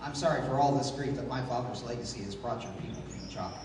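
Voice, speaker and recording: An actor playing a calm, commanding heroic adventurer in a 1930s-style radio serial. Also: male voice, mid-range voice, fast talker, noisy background, natural voice. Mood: grave, sincere. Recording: distant echoey hall, music underneath.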